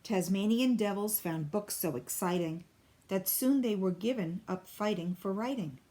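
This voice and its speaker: A woman reading a children's picture book aloud in an expressive, deliberate storybook style. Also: mid-range voice. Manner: deliberate, clearly phrased storybook delivery.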